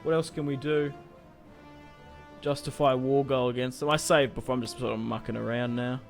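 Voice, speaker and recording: A man's voice: medium pitch, fairly even intonation, normal speed, creaky, faint music behind. A young man casually commentating a strategy game he plays, chatty and rambling, swearing now and then. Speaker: gaming YouTuber. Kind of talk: casual let's-play commentary.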